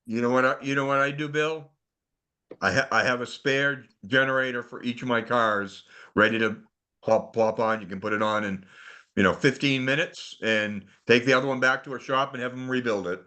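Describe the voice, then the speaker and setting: A man with a sharp, bright voice talks informally in a group discussion. He offers practical advice to fellow hobbyists over a conference call. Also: medium pitch, steady tone, moderate pace, smooth. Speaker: club member giving technical advice.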